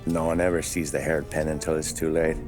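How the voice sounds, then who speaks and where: A man's voice: very deep voice, little pitch movement, a little fast, music in the background. A man playing a knowing, world-weary questioner in a 1930s crime game. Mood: wry, probing. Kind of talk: cinematic game acting, 1930s crime drama.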